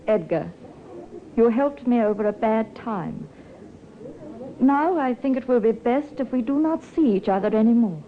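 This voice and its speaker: An actress playing a composed, scheming young widow in a 1950s radio drama, with halting, theatrical delivery. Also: female voice, fairly high pitch, animated. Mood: cold, offended. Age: young adult. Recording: tinny, hissy 1950s radio recording.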